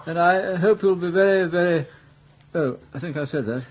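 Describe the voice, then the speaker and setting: Absent-minded old posh english lord, highish voice, flustered and hesitant, radio comedy. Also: male voice, normal speed, dull audio. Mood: vague, polite. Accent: upper-class English. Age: older. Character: vague, timid, absent-minded elderly English earl. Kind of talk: British radio comedy drama.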